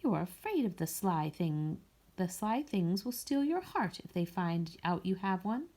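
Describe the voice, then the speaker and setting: A woman's voice: on the low side, sing-song, measured pace, warm tone, exaggerated voice. Woman voicing a lilting, teasing fortune-teller woman. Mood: gently chiding. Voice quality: musical.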